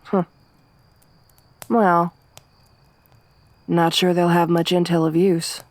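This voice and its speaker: A woman plays a tough young wasteland survivor who talks low and slow, her voice close and dry with no room sound. Her tone stays flat and even, soft-spoken but gruff, with dry teasing running through it. It's an intimate ASMR roleplay. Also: no echo. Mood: low-key, wry.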